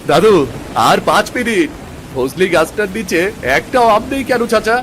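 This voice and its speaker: A man's voice: high voice, expressive, moderate pace, fairly bright tone, slight background noise. An actor playing an eager, cheeky young village boy in a cartoon story.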